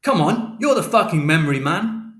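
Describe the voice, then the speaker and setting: A man voicing a furious middle-aged father who shouts and swears, recorded with a roomy echo. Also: a little high, sing-song, moderate pace, voice pushed up.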